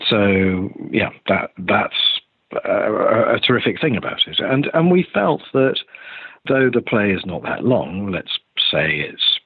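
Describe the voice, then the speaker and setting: A man's voice: on the low side, big pitch swings, measured pace, breathy edge. An older man being interviewed as a theatre director on a remote podcast call, talking in a hesitant, rambling way with restarted sentences. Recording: roomy, thin phone-like call audio.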